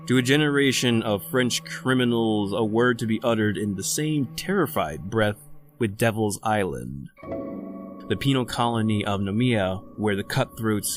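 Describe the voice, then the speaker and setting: A deep male voice of an actor playing a self-possessed convict doctor in an old-time radio drama. He is formal, eloquent and theatrical, narrating and speaking like a man of the world. Soft music runs underneath. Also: close to an everyday voice.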